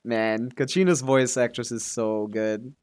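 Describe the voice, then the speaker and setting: A man's voice: mid-range voice, steady tone, a little slow, a little mellow, smooth. Casual male game streamer thinking aloud. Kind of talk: casual live stream commentary, answering chat questions.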